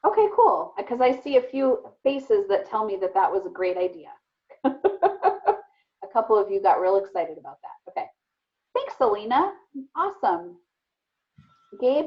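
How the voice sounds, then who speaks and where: Woman, elementary teacher on a dull-sounding video call; medium pitch, informal and encouraging with kids. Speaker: elementary teacher hosting an online read-aloud. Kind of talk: casual, encouraging talk to children over video call. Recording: muffled, band-limited video call audio.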